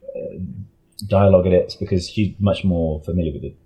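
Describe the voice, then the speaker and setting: A man with a very deep voice, dark and husky in tone. He talks casually as a guest in an interview. His explanations wander a little, with hesitant phrasing. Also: steady tone, a little fast, dull audio. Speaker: audio drama creator and sound editor, interview guest.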